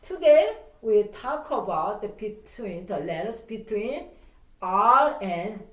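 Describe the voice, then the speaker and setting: A middle-aged woman with a Korean accent, teaching pronunciation in English and repeating words slowly so the student can copy them. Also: mid-range voice, sing-song, background noise. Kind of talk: lively pronunciation lesson. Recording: roomy sound from a distant mic.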